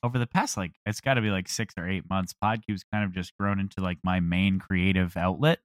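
A man's voice: sits low, steady tone, fairly quick, no room sound, clean studio sound. A man who is a podcast creator, talking casually and reflectively as an interview guest.

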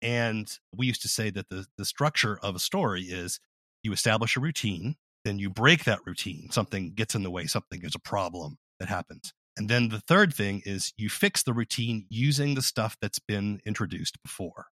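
A man's voice: lowish voice, moderate pace, pushed and bright, no echo. A man hosting a casual interview, talking in an explanatory, conversational way.

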